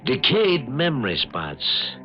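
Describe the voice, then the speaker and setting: An actor playing a fussy, self-satisfied mad doctor who mutters, repeats himself and patronises, in 1950s radio drama style. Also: male voice, mid-range voice, huge pitch swings, slow pace. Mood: absorbed, smug. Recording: dull lo-fi 1950s radio recording with music.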